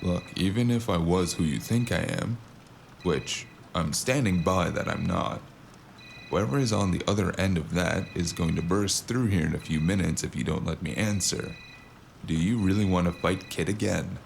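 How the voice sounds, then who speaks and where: A man playing a sardonic, world-weary cyberpunk investigator in an audio drama, narrating in first person with a gravelly, wry delivery. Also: low-pitched, normal speed, slight background noise. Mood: defensive, sarcastic. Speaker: narrator and lead character.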